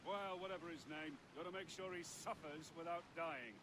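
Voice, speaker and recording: A man's voice: on the high side, moderate pace, natural voice. A man playing a callous guard in noisy ambience. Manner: callous, matter-of-fact. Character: callous male prison guard.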